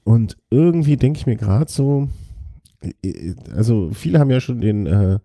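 Gravelly, dark-voiced man chatting casually. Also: medium pitch, expressive, normal speed, dry recording, studio quality. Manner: joking, rambling with many restarts. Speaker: podcast co-host. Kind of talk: casual chat.

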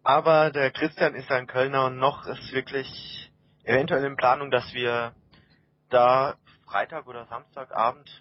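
A man from Baden-Württemberg hosts an informal interview in a medium-pitched voice. He speaks discursively, building long, loose questions. The sound is slightly dull and lo-fi. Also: flat delivery, a little slow. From Baden-Württemberg, Germany. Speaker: interviewer, host. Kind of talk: casual conversational interviewing.

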